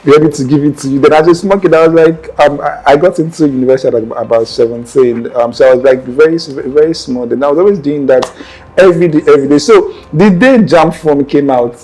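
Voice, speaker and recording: A man's voice: fairly high pitch, brisk, darkish tone, poor audio. A young man with a Nigerian accent, telling his life story as an interview guest in an earnest, meandering way that often circles back to correct himself. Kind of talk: personal storytelling in conversation.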